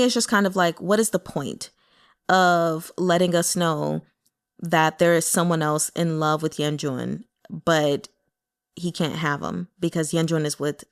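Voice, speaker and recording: Woman w a slightly low voice, chatty book reviewer, real candid and a bit exasperated, just talking casually. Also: smooth. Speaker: book reader and reviewer with an audience. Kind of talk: casual chat commentary on a novel.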